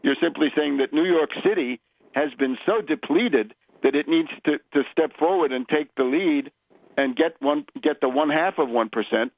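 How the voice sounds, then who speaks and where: A man hosting a political radio interview, speaking in a measured, explanatory way with deliberate phrasing. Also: a little high, gravelly, no echo. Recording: dull lo-fi radio audio.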